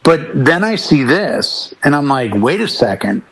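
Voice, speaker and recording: A middle-aged man co-hosting a casual music chat, telling stories with deliberate pauses and emphasis. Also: fairly high pitch, big pitch swings, fairly bright tone, slightly rough, rough recording. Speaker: talk show co-host.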